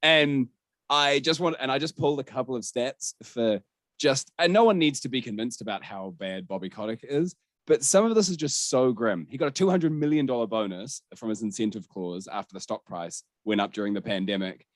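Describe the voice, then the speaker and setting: A man's voice: medium pitch, brisk, no echo. A man hosting a gaming podcast, talking through the news in a candid, sweary, conversational way. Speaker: podcast host.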